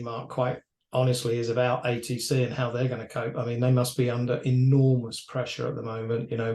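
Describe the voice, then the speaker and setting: A man with a mid-pitched voice speaks in a roomy, echoey space. He gives opinionated industry commentary in a conversational way, with a wry edge. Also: darkish tone. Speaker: aviation expert commentator.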